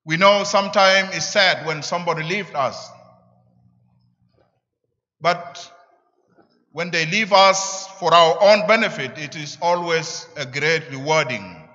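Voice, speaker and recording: A middle-aged man with a South Sudanese accent preaches a sermon deliberately, in short emphatic phrases, at a church lectern. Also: a little high, pushed and bright. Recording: echoing church, slightly dull sound.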